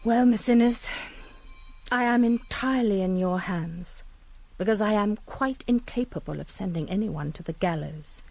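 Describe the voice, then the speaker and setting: A woman's voice: medium pitch, measured pace, gravelly, a little mellow, no echo. An actress playing a conscientious, troubled woman who narrates a radio drama, with a British accent and precise, well-spoken delivery. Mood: resigned. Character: conscientious, troubled middle-class woman, narrator and reluctant confidante.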